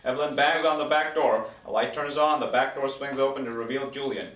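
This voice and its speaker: A man's voice: medium pitch, fairly even intonation, a little fast, fairly bright tone, muffled recording. A man reading stage directions as scripted narration at a table read in an echoey room. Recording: echoey room, distant mic.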